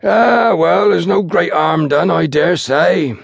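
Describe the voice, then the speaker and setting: A man's voice: medium pitch, sing-song, moderate pace, slightly rough, no room sound, voice pushed up. A man voicing a large, pompous, easily bewildered rustic station master in an audiobook story, with lofty dignity and uneducated grammar. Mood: magnanimous. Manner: lofty, sarcastic dignity with dropped grammar and misplaced aitches.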